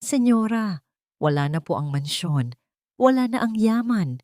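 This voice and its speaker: A woman with a Filipino accent voicing a wronged wife who is weary, calm and coldly resolute in an audiobook drama. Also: medium pitch, no echo, clean studio sound, voice pushed up, lightly acted. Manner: gentle, deliberate.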